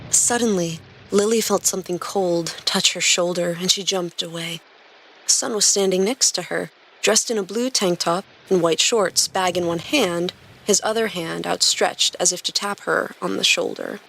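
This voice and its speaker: A woman hosting a podcast and narrating stories in a clear scripted reading style. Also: on the low side, normal speed, fairly bright tone, a little hiss or hum, poor audio. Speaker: podcast host and story reader.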